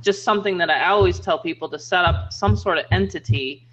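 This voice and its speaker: A woman's voice: on the low side, fairly quick, a bit bright, muffled recording, faint music behind. A woman hosting a casual online group chat, talking in an opinionated, rambling way with many "you know"s over a video call. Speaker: host.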